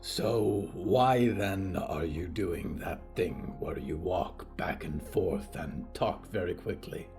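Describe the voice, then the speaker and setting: A man voicing a calm demon hotel manager who speaks slowly, in deliberate, formal phrases. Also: low-pitched, creaky, over music, pitched below the performer's own voice, full character voice. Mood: calm, puzzled. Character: calm, deep-voiced demon hotel manager, low and measured.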